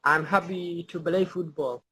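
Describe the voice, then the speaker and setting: A man's voice: fairly high pitch, steady tone, sharp tone, husky. Hesitant young man. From Somalia. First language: Somali. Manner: halting, hesitant English with many fillers. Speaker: university student, chat guest. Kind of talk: casual video chat.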